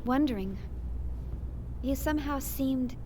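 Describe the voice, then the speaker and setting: High-voiced, curious young woman. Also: takes it slowly, mellow, slight background noise. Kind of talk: video game cutscene acting.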